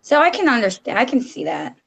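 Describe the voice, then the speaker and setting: A woman's voice: fairly high pitch, very animated, a little fast, gravelly, slightly rough, highs cut off. A woman guest in casual chat on a livestream, modest and hesitant, often trailing off.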